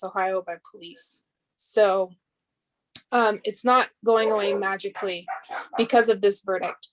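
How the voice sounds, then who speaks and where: A woman with a mid-pitched voice speaks as a school board member at a public meeting. Her delivery is earnest and measured, like someone addressing colleagues on the record. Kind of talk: prepared remarks at a public meeting.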